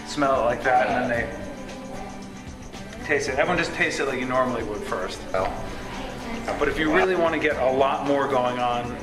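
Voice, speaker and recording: A man casually demonstrating wine tasting step by step, in a conversational way. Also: mid-range voice. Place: roomy apartment dinner party. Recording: echoey room, music behind.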